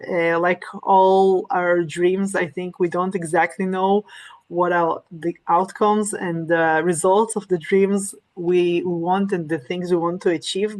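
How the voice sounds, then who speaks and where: A woman's voice: fairly low pitch, darkish tone. A woman, in Hebrew and Russian, giving an informal online lesson, hesitant with many fillers and restarts. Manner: reads Hebrew passages quickly.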